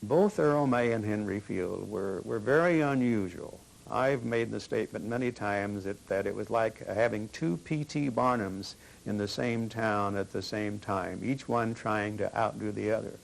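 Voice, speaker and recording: Guy being interviewed about old days, low gravelly voice, kinda halting. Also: poor audio. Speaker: documentary interviewee. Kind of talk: reflective interview recollection.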